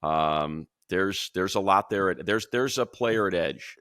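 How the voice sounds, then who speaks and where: A man with a deep voice, recorded close and dry, gives unscripted football analysis. He is conversational and opinionated, working through his thoughts out loud like a sports radio regular. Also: slow pace, no room sound. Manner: conversational, opinionated, thinking aloud. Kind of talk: casual unscripted football draft commentary.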